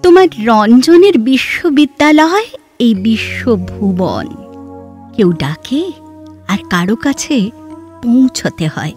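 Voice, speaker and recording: A woman playing an articulate, wistful, reflective woman in a literary audio drama, with measured, theatrical phrasing. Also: fairly high pitch, sing-song, a little mellow, faint music behind.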